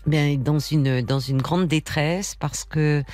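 A woman hosts a late-night call-in radio show in a low, gentle voice. She speaks softly and with care, recapping callers' stories. Her studio mic sounds close and dry. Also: slow pace, no echo, soft background music. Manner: gentle, soothing and empathetic, summarizes callers' stories. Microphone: studio microphone.

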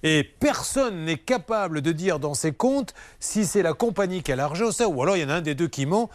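A middle-aged man with a Bordeaux accent hosting a consumer-rights radio talk show, lively and teasing. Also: on the high side, no echo. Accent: Bordeaux French. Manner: lively, teasing, quick to joke. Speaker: radio host.

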